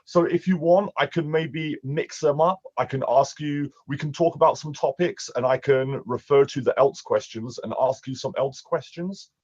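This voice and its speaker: A man's voice: a little high, moderate pace, hardly any pauses, darkish tone, breathy edge, dry recording. Chatty London man on a dull call line. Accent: London English. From England. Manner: chatty, laughs often, restarts a lot. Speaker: online English teacher. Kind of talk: casual one-on-one conversation lesson. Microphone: video call.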